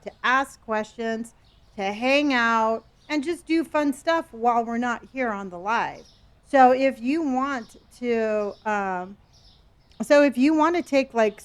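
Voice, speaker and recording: Chatty sixty-something woman streamer. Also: on the high side. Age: 60s. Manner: chatty, reads chat aloud, trails off and restarts. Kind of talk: casual live-stream chat with viewers.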